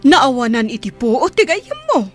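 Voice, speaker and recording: Lively, protective Ilocano village mother played by an actress. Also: on the high side, normal speed, faint music behind. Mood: distressed, protective. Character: protective, concerned village mother of a grown daughter. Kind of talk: Filipino radio drama acting.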